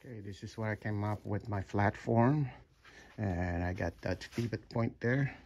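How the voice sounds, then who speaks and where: A middle-aged man giving a casual walkthrough of his home-built project, halting with many fillers and restarts. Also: fairly low pitch, over music. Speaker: DIY builder. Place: home room with sim cockpit, indoors.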